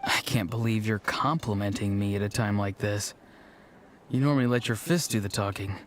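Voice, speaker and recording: A man's voice: lowish voice, steady tone, normal speed, close to an everyday voice. A man playing a young rebellious grandson in a video game, hesitant and earnest with a dry edge. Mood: subdued, dry. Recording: music clearly playing behind the voice.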